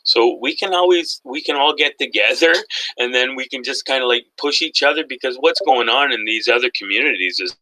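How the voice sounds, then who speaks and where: A man's voice: medium pitch, fairly quick, low-quality recording. A man with an Irish Canadian accent, ranting and joking animatedly as a guest on a casual livestream chat. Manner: loud, animated, joking rants.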